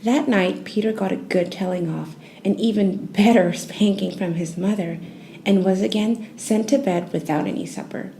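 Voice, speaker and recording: A woman narrates a children's bedtime story in a soothing, gently expressive way. Her voice is mellow and relaxed, at an average pitch. There is faint noise behind her. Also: moderate pace, rough recording.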